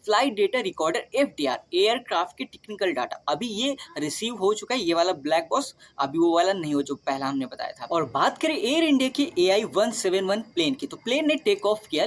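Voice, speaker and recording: A woman with an Indian accent hosting a scripted explainer, speaking straight to listeners. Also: medium pitch. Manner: addresses listeners directly with rhetorical questions. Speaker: video host.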